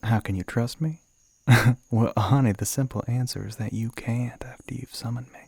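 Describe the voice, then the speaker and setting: A man playing a suave, flirty male demon in an ASMR roleplay, with a Southern American accent, a breathy, gravelly voice that often drops to a whisper, and a teasing, coaxing drawl. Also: medium pitch, fairly even intonation, normal speed, darkish tone, dry recording. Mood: candid, sly.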